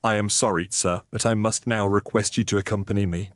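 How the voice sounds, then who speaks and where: A man voicing a grave, dutiful Victorian police inspector with a formal, deliberate, official manner. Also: on the low side, steady tone, dry recording, close to an everyday voice. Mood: official, firm.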